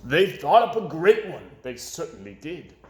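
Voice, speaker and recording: A man reading a rhyming children's book aloud with an expressive, sing-song delivery, in an echoey room. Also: a little high, moderate pace. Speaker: storyteller.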